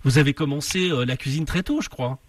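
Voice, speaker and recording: Hesitant male radio host, husky voice. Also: fairly high pitch, brisk, dry recording, far from the mic. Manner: hesitant, many fillers and restarts. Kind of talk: radio interview.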